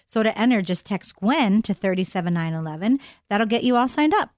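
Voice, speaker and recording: A woman's voice: medium pitch, expressive, fairly quick, a little mellow, no echo, studio quality. A woman co-hosting a radio show, reading a contest promo in an upbeat way. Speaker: radio co-host.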